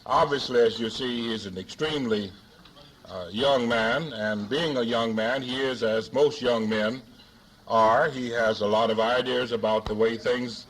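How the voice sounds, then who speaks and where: A man hosting a forum, introducing a speaker in a formal but jocular way with deliberate, halting phrasing, on an archival 1970s recording. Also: mid-range voice, rough recording. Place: live luncheon forum before an audience.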